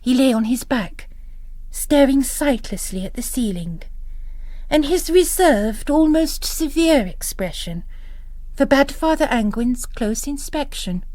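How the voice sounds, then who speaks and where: A woman with a fairly high voice narrates a literary novel. She reads slowly and deliberately, in a close, dry studio sound. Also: lively intonation, a little mellow, dry recording. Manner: measured, deliberate. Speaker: narrator, reader. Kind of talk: scripted literary audiobook narration.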